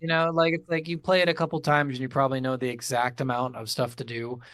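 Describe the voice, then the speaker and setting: A man's voice: medium pitch, level tone, fast, a bit bright, no room sound, clean studio sound. A man co-hosting a video game podcast, chatting casually with heavy swearing and incredulous rants, acting out lines he quotes. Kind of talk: casual, profane game review chat.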